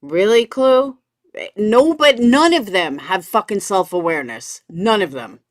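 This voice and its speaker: A woman's voice: medium pitch, animated, unhurried, no echo, clean studio sound. A woman giving casual live commentary, blunt and gossipy, with plenty of swearing. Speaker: commentary streamer.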